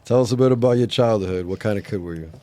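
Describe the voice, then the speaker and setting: A man hosting a podcast interview, asking short questions and giving low-key nods of approval. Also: fast, very noisy. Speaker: podcast host.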